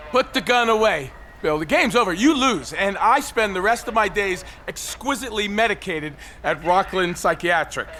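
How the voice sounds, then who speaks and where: An unhinged, embittered killer in an audio drama, with a slightly high male voice. He is theatrical and brooding, with a sardonic edge, over faint city ambience. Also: expressive, moderate pace, few pauses, sharp tone, a little hiss or hum. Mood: sardonic, resigned. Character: bitter, unhinged male killer taunting a policeman from a ledge. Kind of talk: modern audio drama acting.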